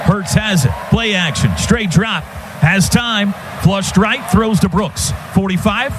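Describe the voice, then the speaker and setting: A man's voice: high-pitched, slow. A man with an American accent calling live football on the radio in clipped, staccato phrases. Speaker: play-by-play sports announcer. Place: stadium radio booth. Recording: noisy live stadium radio broadcast.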